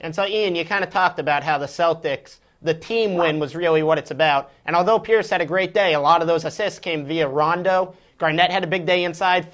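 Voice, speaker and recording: A man's voice: a little high, a bit bright. A young man co-hosting a sports talk show, earnestly setting up long questions. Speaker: co-host. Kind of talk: young interviewer asking questions.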